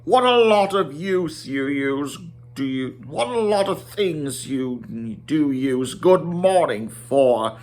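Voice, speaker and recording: A man performs an old storybook wizard, imposing and indignant, with a teasing edge. He declaims slowly and stretches key words, his pitch raised and lively. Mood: irritated. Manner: emphatic, drawn-out, declamatory. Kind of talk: dramatic storybook reading.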